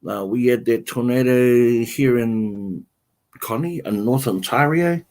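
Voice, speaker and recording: Male livestream host, middle-range voice, slow and halting delivery as he answers comments. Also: mid-range voice, a little mellow. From New Zealand. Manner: answers viewer comments one by one, halting and unhurried. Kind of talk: casual livestream chat.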